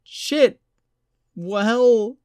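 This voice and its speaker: A male narrator putting on a panicky young woman in an audiobook. The voice is high and strained, with frequent swearing and a fretful edge. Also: extremely slow, a little mellow, smooth, no room sound, clean studio sound, full character voice. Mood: panicked.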